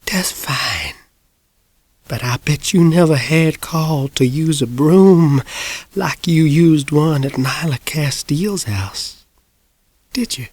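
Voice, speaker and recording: A man voicing a frail, folksy woman of a hundred and six, with a quavering, breathy Southern drawl and a sly warmth. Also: on the high side, no room sound. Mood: teasing, probing. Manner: slow, drawling country speech.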